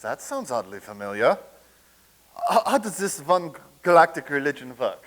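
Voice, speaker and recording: A man's voice: fairly high pitch, sing-song, normal speed, a bit bright. A man reading the part of a flustered soldier from a past war in a staged comedy reading, stammering with nervous restarts.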